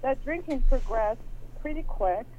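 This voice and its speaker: A woman in her thirties from Iran, calling in and speaking hesitantly with many pauses and fillers about personal struggles. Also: high voice, lively intonation, warm tone. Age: 30s. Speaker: caller. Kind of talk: personal call-in conversation. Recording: tinny phone line with faint hiss.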